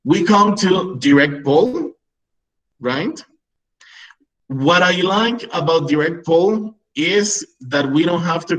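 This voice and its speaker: A man's voice: fairly high pitch, fairly bright tone, low-quality recording. A man in his thirties whose first language is Spanish, teaching a webinar for English teachers over a video call and often checking in with "right?". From Peru. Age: 30s. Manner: explanatory, frequent "right?" checks.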